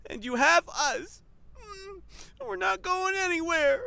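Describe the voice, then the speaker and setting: Casting: actress as teasing young friend in comedy fantasy drama, creaky highish voice, dry close sound. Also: female voice, expressive, takes it slowly, pushed and bright, dry recording, heavily acted. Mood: earnest, tearful. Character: wry, teasing young housemate, loyal friend. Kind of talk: audio drama acting.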